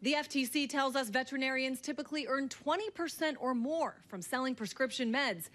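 A woman's voice: high-pitched, brisk, fairly bright tone. A woman with an American accent narrating a scripted news report as a correspondent.

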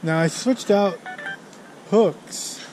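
A man's voice: high-pitched, extremely slow, darkish tone, rough recording. An American man chatting casually as he fishes outdoors, recorded on a body-worn action camera. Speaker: fishing vlogger. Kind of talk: casual chat while fishing. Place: outdoors beside an urban river. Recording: faint outdoor city noise.

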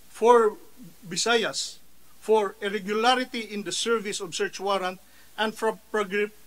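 A man's voice: high-pitched, rough recording. A middle-aged man with a Filipino accent, an official reading a prepared statement aloud at a hearing on a table microphone. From Philippines. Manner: reads steadily from papers, rising and louder when quoting his own retort. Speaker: official testifying at a Senate hearing. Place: large hearing room.

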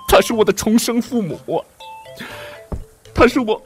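A man's voice: high voice, animated, unhurried, soft background music. Man playing a hammy, wildly swooping prince. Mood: effusive. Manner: melodramatic, hammy, stammering when flustered. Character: young lecherous prince, secretly another soul, theatrically grieving and scheming. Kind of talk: Chinese costume audio drama acting.

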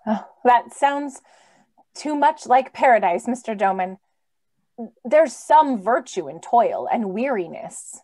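A woman's voice: a little high, expressive, slow pace, warm tone. A woman acting an earnest, idealistic young lady crusader in an old-time radio drama. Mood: doubtful.

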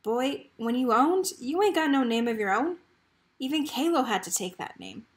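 An earnest, protective young soldier boy from a storybook, read by a woman. He talks in folksy country speech, pitched fairly high. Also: big pitch swings, normal speed. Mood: quiet, earnest.

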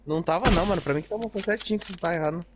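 A man chatting and teasing his squad mates while playing a shooter, often shouting excited callouts. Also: sits high, very fast. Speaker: streamer in squad. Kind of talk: casual gaming chat over voice chat. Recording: noisy game audio with gunfire.

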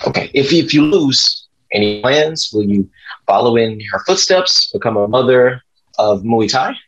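A man playing a husky, prodding sports journalist. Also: medium pitch, lively intonation, unhurried. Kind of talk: screenplay table read acting. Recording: dull lo-fi call audio.

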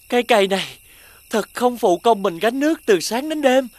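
A woman voicing an earnest, determined young boy in an animated fairy tale, with an expressive delivery. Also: a little high, slight background noise. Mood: weary.

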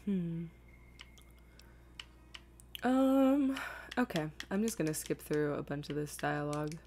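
Low-pitched woman streaming a game. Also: animated, takes it slowly, dark tone, slight background noise. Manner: playful, chatty. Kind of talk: casual playthrough commentary.

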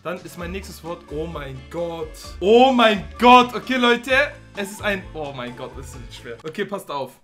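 A man's voice: high voice, normal speed, hardly any pauses, faint music behind. A man in his twenties who speaks slangy speech, chatting casually and swearing as he hosts a gaming video with friends. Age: 20s. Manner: excitable, slangy, swearing banter. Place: small room at home, indoors.

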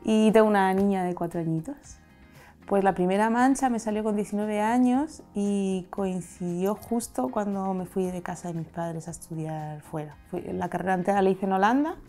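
A woman in her thirties from Madrid with a Castilian Spanish accent, speaking candidly and reflectively in an interview, seated on a sofa in a bright studio with a lapel mic. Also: medium pitch, moderate pace, faint music behind. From Madrid, Spain. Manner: reflective, open, gesturing with her hands. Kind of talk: candid personal testimony.

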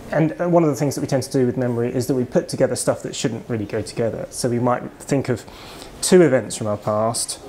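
A man's voice: medium pitch, darkish tone, a little hiss or hum. British man explaining thoughtfully. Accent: British English. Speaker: author and psychologist. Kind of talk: interview answers. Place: kitchen shop, indoors.

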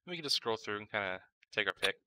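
Low, bright-voiced man giving a tutorial. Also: expressive, quick pace, dry recording. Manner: informal, thinking aloud as he works. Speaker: tutorial host. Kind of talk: casual step-by-step software tutorial voice-over.

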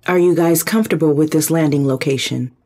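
A calm spaceship computer voiced by an actor reports in a sci-fi drama. The voice is medium in pitch and husky, with formal, precise wording. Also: fairly quick, close to an everyday voice. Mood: neutral, precise. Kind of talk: sci-fi audio drama acting.

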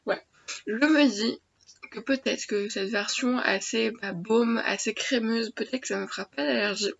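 A woman's voice: on the high side, normal speed, creaky. A teenage girl chatting casually in her small attic bedroom, full of fillers and restarts, recorded on a built-in webcam mic. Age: teens. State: stuffy nose from a cold. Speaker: beauty vlogger. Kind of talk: casual daily unboxing vlog. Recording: dull lo-fi webcam audio with faint noise.

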